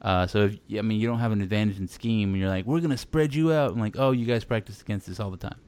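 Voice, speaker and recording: A man's voice: fairly low pitch, fast, a little mellow, clean tone. A man from Texas in casual sports chat, rambling with many restarts and fillers.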